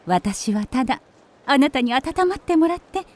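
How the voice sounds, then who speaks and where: A wealthy society lady in a radio drama, high and very animated. She is whimsical and effusive, with refined, theatrical diction and a pleased air about herself. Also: moderate pace. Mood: breezy, insistent. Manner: theatrical, refined ladylike speech. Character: wealthy upper-class lady, whimsical, effusive, self-satisfied.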